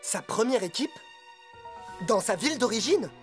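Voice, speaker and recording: Cartoon young male footballer, very high voice, excitable, yelpy, over loud music. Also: moderate pace. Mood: urgent, excited. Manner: yelping, exclamatory. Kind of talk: French cartoon dub acting.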